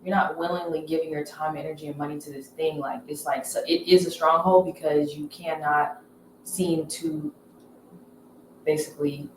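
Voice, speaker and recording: A woman's voice: sits low, steady tone, moderate pace. American woman, echoey podcast room. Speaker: podcast co-host. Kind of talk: casual conversational commentary. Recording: echoey room, distant mic, faint noise.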